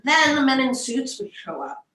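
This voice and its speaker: A woman's voice: high-pitched, steady tone, normal speed, close to an everyday voice. A woman acting a frightened, defensive alien abductee in a script table read, on a roomy, distant microphone. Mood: haunted, confiding. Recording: roomy, distant mic, noisy line.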